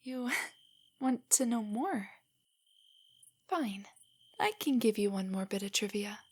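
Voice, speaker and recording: A young woman playing a nervous, nerdy, affectionate girlfriend in an intimate roleplay audio, speaking softly and breathily in a giggly, rambling way. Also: mid-range voice, lively intonation, slow. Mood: playful, shy. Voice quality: breathy, often near a whisper. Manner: rambling, giggly, hesitant, explaining trivia. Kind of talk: roleplay audio, intimate soft monologue to a listener.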